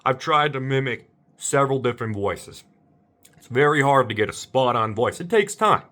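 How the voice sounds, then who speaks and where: Male, casual vlogger type, mid-pitched, bewildered, rambling and repetitive delivery. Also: expressive, normal speed, bright, forceful tone. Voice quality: slightly deep voice. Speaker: amateur voice impressionist. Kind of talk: casual rambling monologue to viewers.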